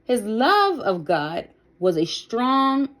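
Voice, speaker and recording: A woman reading a children's Bible story aloud as a storyteller, with deliberate, careful and expressive phrasing. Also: a little high.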